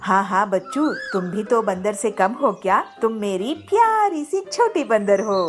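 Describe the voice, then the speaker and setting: A woman voicing an affectionate, reassuring mother in a children's cartoon story, speaking in a gentle, coaxing way. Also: mid-range voice, animated, unhurried, soft background music, lightly acted. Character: affectionate, reassuring young mother of small girl.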